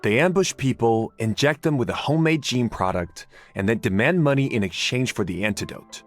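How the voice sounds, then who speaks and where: A man voicing a male gang underling who gives a brisk report in a game. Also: medium pitch, lively intonation, soft background music, close to an everyday voice.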